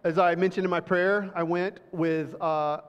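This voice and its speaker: A middle-aged man preaching to a congregation, reading scripture slowly and then talking loosely with fillers and restarts. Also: high-pitched, fairly even intonation, smooth. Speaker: pastor. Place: church hall with audience. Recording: dull, reverberant hall recording.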